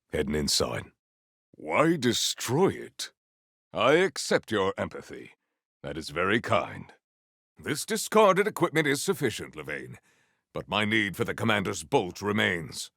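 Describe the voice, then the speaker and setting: A man's voice: on the low side, huge pitch swings, unhurried, gravelly, a bit bright, no echo, studio quality, exaggerated voice. A man playing a solemn, proud warrior in a video game, speaking with formal, stately diction and dramatic weight. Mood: measured, dry. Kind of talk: scripted game character lines.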